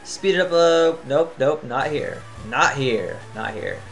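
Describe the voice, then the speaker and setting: A tired man giving casual, chatty commentary as he plays a video game, thinking out loud and humming to himself. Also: medium pitch, very animated, slow pace, vocal fry, soft background music. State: tired, voice near cracking. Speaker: gaming channel host.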